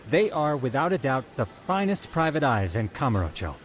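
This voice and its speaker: An actor playing a precise, lecturing detective who explains facts formally in a video game. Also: male voice, medium pitch, sing-song, moderate pace, a little hiss or hum, natural voice.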